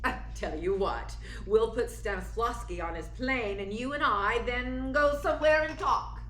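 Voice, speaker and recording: A woman playing a proud, sharp-witted but needy stage star in a radio play, with a theatrical, commanding delivery. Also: a little high, unhurried. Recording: roomy, echoing sound.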